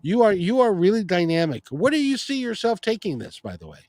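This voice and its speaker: A man's voice: high-pitched, huge pitch swings, brisk, darkish tone, dry recording. A middle-aged man hosting a podcast, talking casually and rambling, with frequent restarts. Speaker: podcast and radio show host. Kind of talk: casual interview chat.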